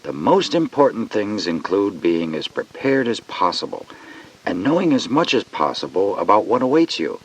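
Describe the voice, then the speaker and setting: A man narrates a children's educational cassette in a reassuring, instructive way. The voice is fairly low with very animated intonation, sounding slightly away from the mic. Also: moderate pace. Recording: distant-sounding vintage cassette.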